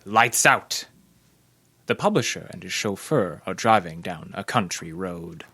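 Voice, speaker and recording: Deep, slow-paced male radio announcer. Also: lively intonation, noisy background. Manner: measured, solemn, dramatic pauses. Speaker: announcer, host. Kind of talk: scripted old-time radio horror narration.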